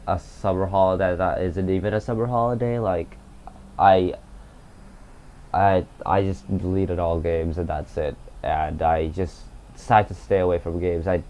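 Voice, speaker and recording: A very deep-voiced teenage boy, Cantonese his first language, rambles through a casual vlog with a glum, halting delivery full of "like" and "uh". The home recording sounds a little dull and muffled. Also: darkish tone. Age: teens. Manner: halting, many fillers and restarts, glum. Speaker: gaming YouTuber.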